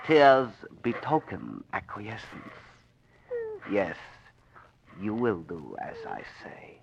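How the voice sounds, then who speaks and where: A sinister, commanding old uncle. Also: male voice, lowish voice, wildly swooping pitch, gravelly, heavy background noise, exaggerated voice. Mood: menacing. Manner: slow, formal, menacing pronouncements. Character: sinister, commanding older Chinese uncle, cult villain. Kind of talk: 1940s radio acting. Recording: muffled 1940s radio recording.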